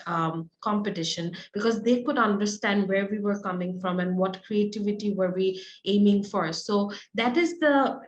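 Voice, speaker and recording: A woman with a Bangladeshi accent answering interview questions in a conversational style. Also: medium pitch. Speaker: interview guest, advertising creative. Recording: echoey room.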